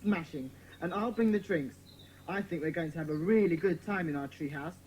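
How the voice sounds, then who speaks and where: Woman playing a brisk, fast-talking schoolboy leader. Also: lowish voice, a little mellow, slight background noise, natural voice. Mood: eager. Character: brisk, confident schoolboy leader of a children's club. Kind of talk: dramatised children's audiobook acting.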